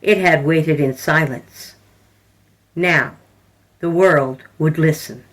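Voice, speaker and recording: A woman reading scripted horror fiction as a podcast narrator, in a measured, dramatic storytelling manner. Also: deep voice, rough recording.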